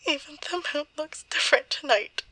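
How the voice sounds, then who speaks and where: A woman playing a grieving, bitter young woman in a halting, intimate audio drama monologue. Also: high-pitched, sharp tone, no echo, poor audio. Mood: anguished, tearful. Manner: halting, hesitant, slow. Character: grieving young woman, bitter, leaving voicemail for lost close friend.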